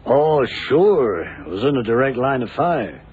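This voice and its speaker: Mid-pitched male voice, easygoing police technician, radio drama, thin phone-like noisy recording. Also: big pitch swings, a little slow. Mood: matter-of-fact. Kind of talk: 1960s-70s radio drama acting.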